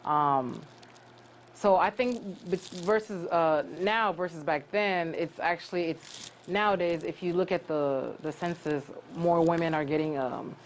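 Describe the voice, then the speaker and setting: A young woman from Cameroon, a graduate student, answering interview questions earnestly with many pauses and restarts. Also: fairly low pitch, slight background noise, rough recording.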